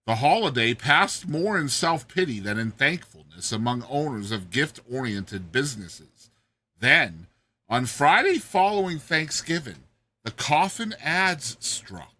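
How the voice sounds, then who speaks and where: Animated, bright-voiced man reading a story. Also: medium pitch, unhurried. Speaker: narrator, story reader. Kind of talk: dramatized audiobook narration.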